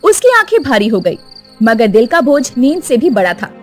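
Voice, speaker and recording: A woman narrating a cartoon moral story in an expressive storytelling manner. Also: on the high side, moderate pace, soft background music.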